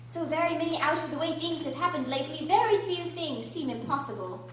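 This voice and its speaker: A woman's voice: sits high, animated, brisk. Radio drama role: curious, fretful little girl, played by a woman, theatrical and exclamatory, heard very distant in a heavily echoing room. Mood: puzzled, wishful. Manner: exclamatory, theatrical, thinking aloud. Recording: roomy, distant, faint noise and hum.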